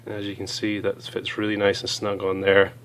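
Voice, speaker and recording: Hoarse man reviewing gear. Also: lowish voice, fairly quick. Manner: relaxed, chatty, many uh and um fillers. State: hoarse from a cold. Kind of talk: casual product review.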